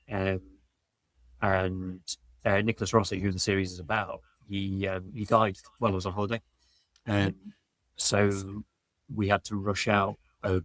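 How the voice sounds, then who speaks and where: A man talking casually as a podcast guest in a hesitant, halting way. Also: lowish voice, fairly bright tone, dry recording. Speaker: podcast guest, audio mixing engineer. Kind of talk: casual chat.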